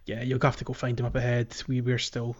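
A man's voice: mid-range voice, completely flat delivery, a little fast, dull audio. A man co-hosting a casual video game podcast, talking in a hesitant way as he searches for his words. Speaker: podcast co-host.

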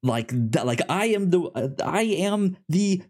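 A man's voice: high-pitched, animated, a little slow, darkish tone. A man co-hosting a podcast in casual chat, rambling with frequent restarts and stammers. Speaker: podcast co-host.

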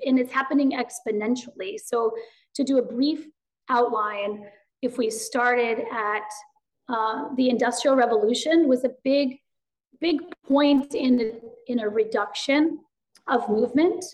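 A woman speaks her mind in a slightly high voice. She talks fast and animatedly, and her casual talk is full of fillers as she explains her ideas. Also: rough recording. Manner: rapid, animated, lots of fillers; self-described loud voice, outspoken. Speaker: guest. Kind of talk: casual conversation.

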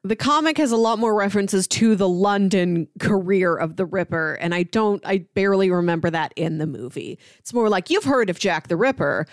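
A woman's voice: mid-range voice, animated, moderate pace, hardly any pauses, no echo, clean studio sound. A woman co-hosting a podcast, talking casually and conversationally as she discusses stories. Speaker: podcast co-host. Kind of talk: casual discussion of comics and film.

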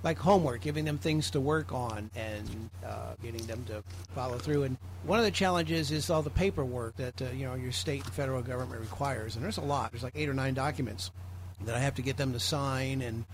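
A man's voice: medium pitch, brisk. An American man giving an informal, rambling explanatory talk to a group as a presenter in an online meeting. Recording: clearly noisy online meeting audio.

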